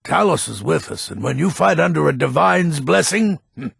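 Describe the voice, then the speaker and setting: An actor playing a grumpy, proud old Nordic lord in a fantasy video game, with a gravelly, raspy voice and a blustering manner. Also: male voice, on the high side, expressive, a bit bright, dry recording, studio quality, full character voice. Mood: fervent. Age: older. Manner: grumpy, blustering, slow and weighty. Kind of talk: video game character voice acting.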